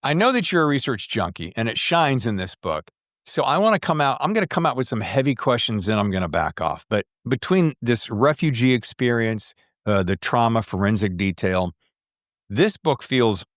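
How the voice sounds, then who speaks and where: A man's voice: medium pitch, no echo, studio quality. An American man hosting a conversational book interview, effusive and rambling as he thinks aloud. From United States. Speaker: podcast host interviewing an author.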